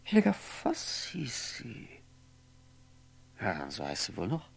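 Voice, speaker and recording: An actor playing a lonely, wistful, sardonic drinker in a 1960s radio play monologue, halting and musing, repeating words and trailing off. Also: male voice, lowish voice, huge pitch swings, creaky, breathy edge, heavily acted. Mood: reminiscing. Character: lonely drinking man, wistful, sardonic, brooding over memories.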